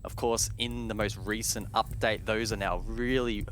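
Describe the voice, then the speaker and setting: A man giving measured, analytical esports commentary, explaining play as a broadcast caster. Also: fairly low pitch, fairly even intonation, a bit bright. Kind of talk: analytical live game commentary.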